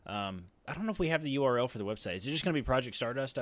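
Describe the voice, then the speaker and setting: A man's voice: mid-range voice, animated, rapid-fire, no room sound. A man co-hosting a podcast, chatting casually on a close microphone. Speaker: podcast co-host. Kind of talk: casual panel chat.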